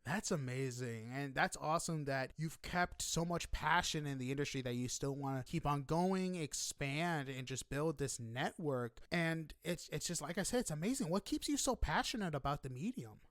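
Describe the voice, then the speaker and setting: A man with a somewhat high-pitched voice hosts a casual interview. He talks in an informal, chatty way with effusive reactions. The sound is dry, with hardly any room in it. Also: moderate pace, few pauses, a bit bright, no room sound. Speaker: podcast interviewer.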